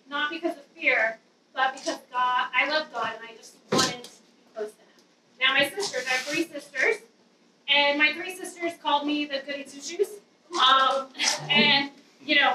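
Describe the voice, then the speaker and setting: A woman in her thirties nervously sharing a personal testimony to a youth group, with a loud voice and many ums. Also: high-pitched, background noise. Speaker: guest speaker giving a testimony. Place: dim church youth room with an audience. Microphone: handheld mic, far camera. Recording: roomy hall sound from a distance.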